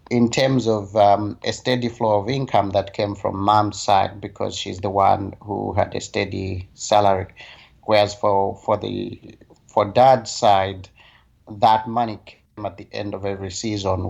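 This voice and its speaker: A man from Zambia with a lowish voice speaks in a relaxed, reflective way, pausing often and filling gaps as he recalls his past. The sound is a bit muffled, like a remote call recording. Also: gravelly. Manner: thoughtful, hesitant with many fillers. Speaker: guest, health advocate. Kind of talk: relaxed conversational interview.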